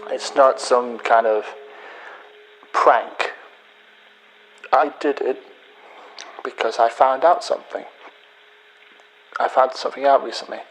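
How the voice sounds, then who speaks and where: A man playing a bitter hacker in a sci-fi audio drama, speaking deliberately with pauses as he accuses a former friend. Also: mid-range voice, steady tone, close to an everyday voice, poor audio. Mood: confessional, deliberate. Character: bitter male hacker confessing revenge to his betrayed friend.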